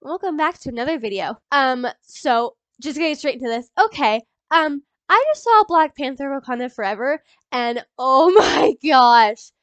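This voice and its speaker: Stuffy-nosed excitable young woman vlogger. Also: high voice, moderate pace, few pauses, no echo. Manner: rambling and excitable, many ums and laughs. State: stuffy from a cold. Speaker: YouTuber. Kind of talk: casual movie reaction vlog.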